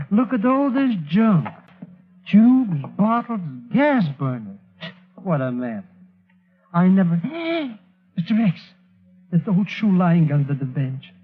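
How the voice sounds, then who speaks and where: Fretful comic sidekick, very high, animated voice, 1940s radio drama. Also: male voice, takes it slowly, heavily acted. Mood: nervous, alarmed. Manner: excitable, fretful, exclaiming. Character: excitable, nervous male sidekick to an agent. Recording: thin phone-like old radio recording.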